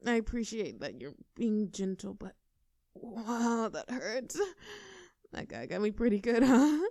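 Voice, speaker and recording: A woman's voice: a little high, big pitch swings, unhurried, dark tone, no echo, clean studio sound. A woman voicing a tough, brash young girlfriend in a scripted audio roleplay. She talks casually and cockily, swears freely and laughs between lines. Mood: wincing.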